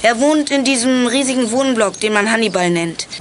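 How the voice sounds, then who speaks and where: A woman playing a bold, impatient teenage detective in a children's radio play, brisk and eager. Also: on the high side, expressive, a little hiss or hum, natural voice. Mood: determined. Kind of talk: 1980s German children's radio play acting.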